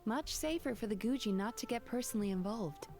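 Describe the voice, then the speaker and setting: An actress playing a composed, gentle-mannered young woman therapist in a video game, speaking in measured, formal phrases. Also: fairly high pitch, lively intonation, natural voice. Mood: calm, reasoned. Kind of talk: scripted video game dialogue. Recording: game music behind the voice.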